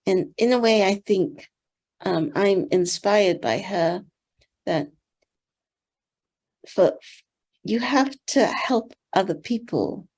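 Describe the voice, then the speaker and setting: An older woman from Singapore whose first language is Teochew, giving gentle, thoughtful interview answers with soft pauses. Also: mid-range voice. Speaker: interview guest, board member.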